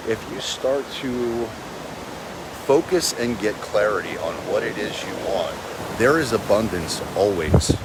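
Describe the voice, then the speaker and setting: A man in his forties giving a casual motivational talk to a phone camera on an outdoor beach, earnest and rambling with fillers. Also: lowish voice. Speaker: real estate agent vlogger. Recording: heavy wind and surf noise. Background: waves and wind.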